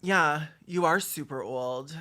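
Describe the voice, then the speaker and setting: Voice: mid-range voice, takes it slowly, bright, forceful tone. A video host giving comedic reaction commentary in a theatrical, exclamatory and sarcastic way.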